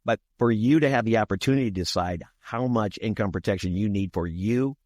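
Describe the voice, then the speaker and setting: Older guy in his 60s, really deep voice, folksy podcast chat, dry close mic. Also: a little fast, darkish tone, dry recording. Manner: folksy, repeats himself, chuckles. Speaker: podcast co-host. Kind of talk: casual podcast discussion.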